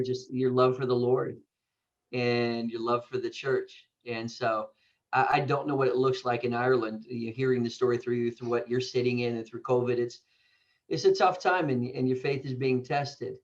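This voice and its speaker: A man with an American accent chats as a guest in a podcast interview. He is effusive and informal, with a playful streak, in a medium-pitched voice. The sound is slightly dull and lo-fi. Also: fairly even intonation, normal speed. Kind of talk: casual conversational interview.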